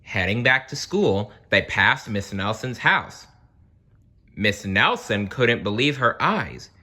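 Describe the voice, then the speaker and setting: Slow, expressive storybook reader. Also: mid-range voice. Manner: deliberate, dramatic pauses. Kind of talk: expressive children's read-aloud narration.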